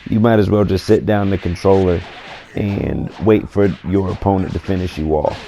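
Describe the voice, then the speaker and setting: A man getting over a cold, his voice scratchy and gravelly, giving laid-back, opinionated commentary on a video game. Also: low voice, fairly even intonation, moderate pace, mellow. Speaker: gaming commentator. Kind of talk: casual gaming commentary.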